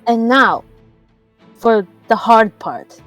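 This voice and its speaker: Woman playing a tabletop game, highish voice, giggly casual narration, faint music under it. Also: expressive, takes it slowly, fairly bright tone. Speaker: player in a tabletop roleplaying game.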